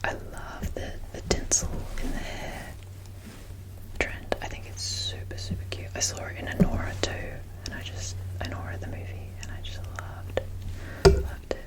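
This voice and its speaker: A young Australian woman whispers breathily, with hardly any voiced sound. She rambles casually in an ASMR style, as if showing items one by one. Her delivery stays hushed and informal. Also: normal speed, low-quality recording. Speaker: ASMR creator showing a doll collection.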